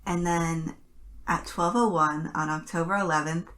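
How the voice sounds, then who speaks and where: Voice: mid-range voice, steady tone, moderate pace, bright, forceful tone. A podcast host chatting casually and enthusiastically, in a gushing fan style.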